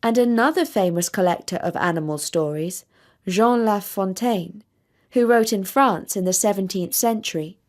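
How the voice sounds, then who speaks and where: A woman storyteller narrating a scripted children's tale in measured, deliberate phrases. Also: medium pitch, a little mellow.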